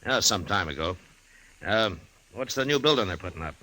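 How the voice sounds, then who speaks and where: An actor playing a steady, laconic frontier marshal with dry, skeptical understatement, in the style of an old-time radio western. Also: male voice, deep voice, moderate pace, dry recording, low-quality recording. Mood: easygoing. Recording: hissy old radio recording.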